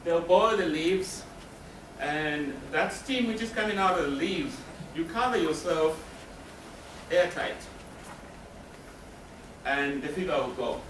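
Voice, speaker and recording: A man's voice: on the high side, takes it slowly, pauses often. A man giving a spoken presentation as a counselor, explaining in short, emphatic phrases and posing questions that he answers himself. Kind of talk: spoken presentation to a live audience. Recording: roomy, distant mic with noticeable background noise.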